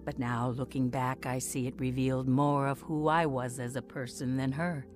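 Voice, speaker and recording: An actress playing a bitter, stubborn wife in a radio drama, with a sarcastic and defiant manner. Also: female voice, extremely low pitch, fairly even intonation, moderate pace, a little mellow, faint music behind. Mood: rueful. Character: bitter, combative, stubborn wife and later divorcee. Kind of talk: radio drama acting with reflective narration.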